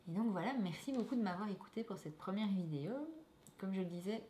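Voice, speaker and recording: A woman's voice: medium pitch, quick pace, warm tone, echoey room, a little hiss or hum. A young woman from Belgium with a Belgian French accent lightly colored by Québec, chatting casually to her viewers with lots of fillers and restarts. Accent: Belgian French, lightly tinged by Québec. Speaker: vlogger answering viewer questions. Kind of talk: casual vlog talk.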